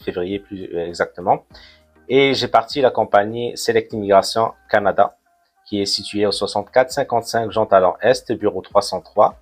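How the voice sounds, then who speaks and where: A man from Morocco in his forties, an immigration consultant answering interview questions in a measured way, often pausing with fillers. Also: fairly low pitch. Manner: measured, many hesitations with "euh".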